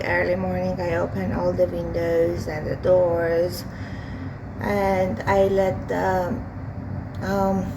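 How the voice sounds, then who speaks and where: Hoarse woman, casual home vlog, slow unhurried talk, traffic noise from open windows. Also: fairly low pitch. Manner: slow, unhurried, hesitant with many fillers. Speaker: vlogger. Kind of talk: casual daily vlog while cooking. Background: street and vehicle noise from outside.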